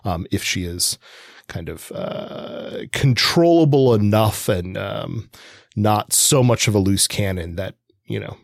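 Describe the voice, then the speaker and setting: A man with a fairly low voice co-hosts a conversational podcast. He explains in a halting, informal way, assembling sentences as he goes. The sound is dry and close, without room echo. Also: gravelly. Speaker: podcast co-host.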